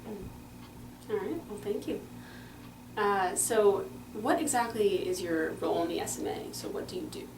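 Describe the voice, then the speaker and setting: A woman's voice: mid-range voice, measured pace, darkish tone. A woman interviewer asking questions in an upbeat, polite way. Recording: roomy, noisy, distant mic.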